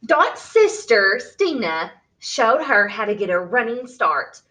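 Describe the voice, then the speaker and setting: High-voiced woman reading a children's story slowly. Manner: expressive, deliberate delivery for young children. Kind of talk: read-aloud picture book storytelling.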